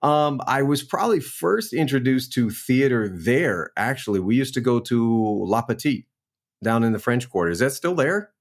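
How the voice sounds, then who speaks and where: A man in his forties from New Orleans, a guest actor telling his career story in a relaxed, chatty way on a remote call. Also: medium pitch, normal speed. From New Orleans, Louisiana. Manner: relaxed, storytelling, frequent "man" and "you know". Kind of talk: casual interview chat.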